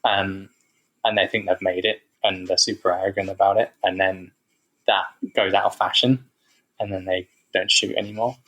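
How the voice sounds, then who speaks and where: A man talking as a photographer guest in a podcast interview, relaxed and rambling, with lots of fillers. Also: sits low, normal speed, lo-fi sound. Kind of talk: casual interview chat.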